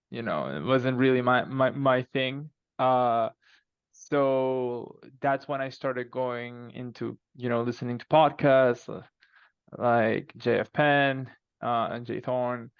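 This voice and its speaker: A man's voice: mid-range voice, clean tone, no room sound, highs cut off. A man from Venezuela with a Venezuelan Spanish accent and Spanish as his first language, a guest author answering interview questions casually and hesitantly, with plenty of fillers. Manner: hesitant, frequent fillers and restarts.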